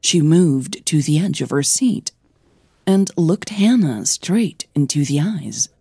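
Unhurried, husky woman narrator. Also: sits low, expressive, mellow, no room sound, studio quality. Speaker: podcast host and narrator. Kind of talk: scripted announcements and story narration.

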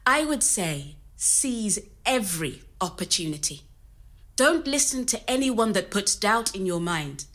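A woman's voice: mid-range voice, very animated, a little slow, a bit bright. An actress playing a candid, resilient woman, telling her story conversationally. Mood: upbeat, candid. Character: Zimbabwean woman immigrant in Nottingham, candid and resilient. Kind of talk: scripted oral-history audio drama told as interview.

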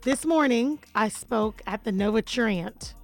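A woman radio host with a deep, raspy, gravelly voice that is slightly hoarse, pitched a touch higher than most women. She talks in a lively, chatty way and greets her listeners one by one, with faint music playing behind her in the studio. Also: measured pace. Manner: animated, chatty, gives listener shout-outs. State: a little hoarse. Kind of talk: casual radio chat.

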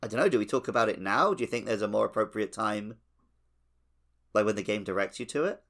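A man's voice: fairly low pitch, fast, fairly bright tone. A man co-hosting a podcast, chatting casually about a video game playthrough. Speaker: podcast co-host.

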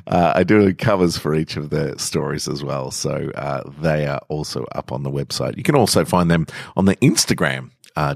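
A man's voice: very deep voice, vocal fry, no echo, clean studio sound. A man hosting a podcast, talking informally and reading short literary stories aloud as narrator.

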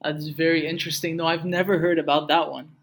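A man's voice: fairly high pitch, fast. A young man from Egypt, a game studio co-founder, telling stories in casual conversation with many fillers. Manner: relaxed storytelling with frequent fillers.

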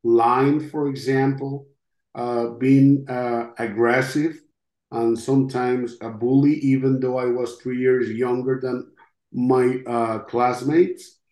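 A middle-aged man from Costa Rica with a Costa Rican Spanish accent gives personal testimony in an interview, speaking in a halting, reflective way. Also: medium pitch, little pitch movement, a little mellow. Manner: halting, reflective, with long pauses. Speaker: interview guest, podcast host. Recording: roomy, echoing sound.

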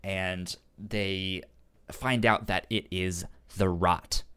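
Low-voiced man with a stutter. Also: steady tone, sharp tone, clean tone. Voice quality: stutter, repeated sounds and syllables. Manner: halting, explanatory. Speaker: podcast co-host. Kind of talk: casual book discussion.

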